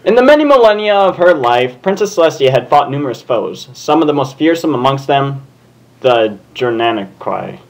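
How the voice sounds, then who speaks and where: A man's voice: mid-range voice, big pitch swings, moderate pace. A man hosting a comedic fan fiction reading, jokey and casual, with plenty of swearing. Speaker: host and fan fiction reader.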